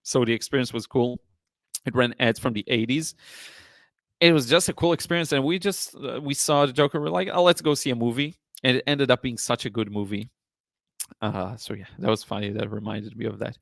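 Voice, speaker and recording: Male live stream host, mid pitch, slightly hoarse, rambling and thankful, chatty delivery, very dry room. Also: brisk, dry recording. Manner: rambling, appreciative, often thanking viewers. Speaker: live stream host, watercolor painting teacher. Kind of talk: casual chatty live stream, answering viewer chat.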